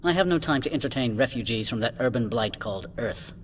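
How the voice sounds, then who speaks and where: An actor playing an impatient corporate administrator in a video game, pompous, curt and dismissive. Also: male voice, medium pitch, animated, fast, close to an everyday voice. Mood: haughty, disdainful. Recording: background ambient noise.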